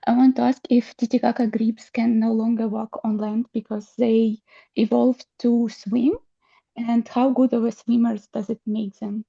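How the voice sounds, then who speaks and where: A woman's voice: fairly high pitch, little pitch movement, normal speed, creaky, warm tone, husky. A woman asking a lecturer questions in a tentative, polite way. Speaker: audience member asking questions. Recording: dull lo-fi sound.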